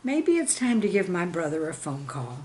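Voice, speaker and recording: A woman reading scripted stories and verse as a channel host, with a measured, deliberate delivery. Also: fairly low pitch, big pitch swings, darkish tone, slight background noise. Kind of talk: scripted storytelling and poem reading.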